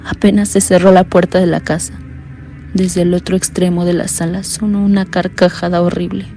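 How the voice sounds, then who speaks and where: A woman narrating a horror radio drama in a hushed, fearful first-person telling. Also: fairly low pitch, flat delivery, normal speed, soft background music.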